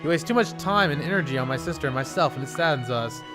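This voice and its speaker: A man's voice: a little high, expressive, fast, lightly acted. A man voicing an earnest young male prince who speaks kindly and directly, with game music behind.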